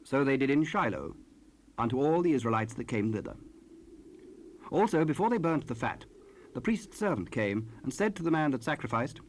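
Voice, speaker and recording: Measured male scripture narrator, medium pitch. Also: very animated, slight background noise, rough recording. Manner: measured, formal.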